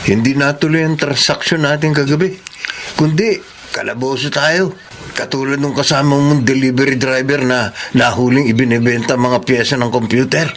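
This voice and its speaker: A man's voice: medium pitch, fairly even intonation, normal speed, a bit bright, close to an everyday voice. A man playing a blunt, worried friend in a radio drama. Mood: relieved. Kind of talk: classic radio drama acting. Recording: radio drama with faint noise.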